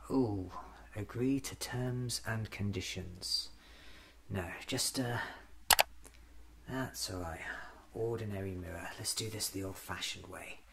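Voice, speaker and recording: A man in his thirties doing an ASMR roleplay as a scatterbrained, excitable alien doctor, muttering to himself in fragments, often in a whisper. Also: lowish voice, slow pace, vocal fry, a little hiss or hum. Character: scatterbrained, excitable time-travelling alien doctor, newly regenerated. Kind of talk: ASMR roleplay acted as a monologue.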